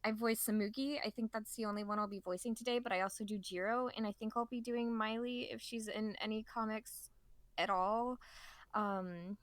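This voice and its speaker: Female voice, bit high, casual voice actor intro on a panel, dry mic. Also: steady tone, normal speed, dry recording.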